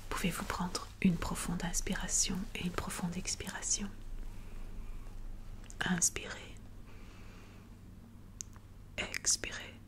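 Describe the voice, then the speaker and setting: Female ASMR doctor: soft breathy whisper, little pitch movement, slow, reassuring bedside delivery. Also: level tone. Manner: slow, gentle, careful, reassuring. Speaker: ASMR performer playing a doctor. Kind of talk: ASMR medical roleplay.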